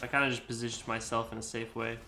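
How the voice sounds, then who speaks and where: Bright-voiced young man with an American accent. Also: mid-range voice, level tone, quick pace, a little hiss or hum. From Iceland. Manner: rambling, full of likes and you-knows. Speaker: gamer and video creator. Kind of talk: casual gameplay commentary.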